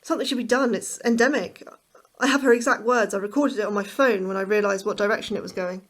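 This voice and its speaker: A woman's voice: mid-range voice, fast talker, natural voice. A woman acting an anxious, prickly astrobiologist in a naturalistic audio drama, hesitant, blunt and defensive. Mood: stubborn, indignant.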